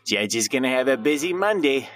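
Man acting a wry desk sergeant, animated pitch. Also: a little fast, lightly acted. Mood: dry, wry. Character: wry, world-weary male police desk sergeant. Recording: slightly distant, music behind.

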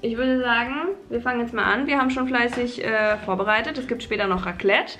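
A young woman in her twenties talks to a camera held at arm's length, easygoing and upbeat as she chats at home. Her voice is a little high. Music plays clearly in the background. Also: steady tone, brisk, bright, forceful tone. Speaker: vlogger co-host. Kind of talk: casual chat. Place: apartment, indoors.

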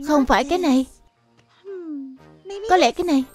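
A performer voicing an earnest, excitable young bear cub in a children's cartoon dub, with simple childlike delivery. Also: high-pitched, brisk, soft background music, natural voice. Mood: curious, helpful.

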